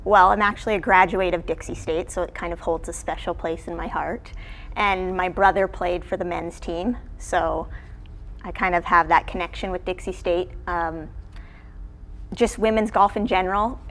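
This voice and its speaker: A woman's voice: lowish voice, fairly even intonation, moderate pace, a bit bright. A woman from Canada, a college golf coach, chatting casually as an interview guest in a studio. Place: television studio.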